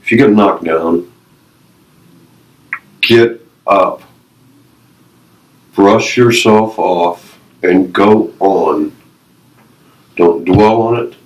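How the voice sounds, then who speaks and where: A man's voice: deep voice, drawn-out words, pauses often, poor audio. A man in his fifties, a retired Navy veteran being interviewed, sharing personal stories and life advice earnestly in short, emphatic, repeated phrases. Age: 50s.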